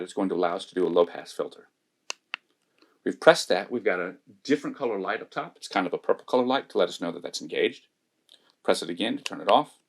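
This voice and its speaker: A man's voice: lowish voice, creaky, a little hiss or hum. A man giving a methodical, step-by-step hands-on demonstration of audio equipment. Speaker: tech reviewer demonstrating gear.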